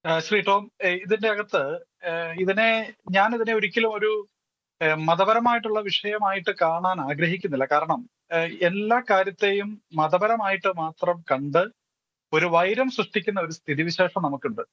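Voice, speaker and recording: A Malayali man speaks on a television debate panel in a forceful, fairly high voice. He explains his points haltingly, dropping in English phrases. The sound is close and dry but somewhat dull. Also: no room sound. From Kerala, India. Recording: dull, lo-fi audio.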